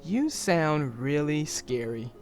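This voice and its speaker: An eager little turtle boy from a storybook, curious and lively, read out by a woman. The delivery is unhurried, with a pitch that rises and falls a lot and a slight gravelly edge. Soft music sits underneath. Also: exaggerated voice. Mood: cheerful.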